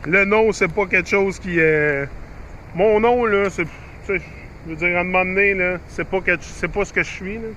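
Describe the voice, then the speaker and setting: Rambling Québécois man, bright voice. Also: sits high, normal speed, a little hiss or hum. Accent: Québécois French. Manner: rambling, chatty, frequent fillers and restarts, mixes in English phrases. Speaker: YouTuber. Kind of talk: rambling casual monologue to viewers.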